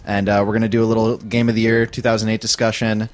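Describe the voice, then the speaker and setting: A man's voice: on the low side, flat delivery, quick pace. A man hosting a casual podcast, introducing guests and laughing easily. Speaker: podcast host.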